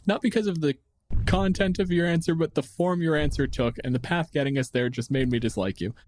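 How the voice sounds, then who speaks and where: A man's voice: on the high side, fairly quick, a little mellow, slight background noise. A man chatting casually as a podcast host, riffing on game monsters in a dry, blunt way and swearing freely. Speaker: podcast host answering listener questions.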